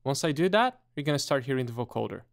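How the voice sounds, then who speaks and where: A man presenting a step-by-step software tutorial in a methodical, explanatory way. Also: medium pitch, lively intonation, fast, gravelly, background noise.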